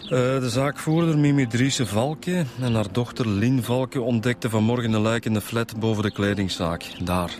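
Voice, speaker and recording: An actor playing a chatty, wry police inspector with a Flemish accent in a radio drama. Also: male voice, medium pitch, normal speed, few pauses, darkish tone, slight background noise, lightly acted. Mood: informative, chatty. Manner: chatty, colloquial. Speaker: detective. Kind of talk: naturalistic radio drama acting.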